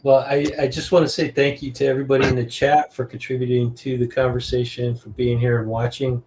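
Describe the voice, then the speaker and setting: A man hosts a podcast in a relaxed, easygoing way. He thanks his viewers and often calls his guest "man". His voice sits at an ordinary pitch. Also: fairly quick, noisy background. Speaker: podcast host. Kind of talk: casual interview chat.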